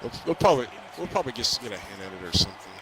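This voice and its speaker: A young man chatting casually while gaming, telling things in a rambling, halting way. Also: mid-range voice, very animated, rough recording. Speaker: gamer reviewing a film with a friend. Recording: crowd and ball bounces from game. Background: basketball video game crowd noise.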